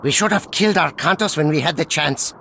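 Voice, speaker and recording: Fretful, high-voiced villain's underling. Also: male voice, fairly quick, gravelly, sharp tone, a little hiss or hum. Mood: fretful, dismayed. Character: anxious, whiny underling of a villain. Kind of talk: video game cutscene acting.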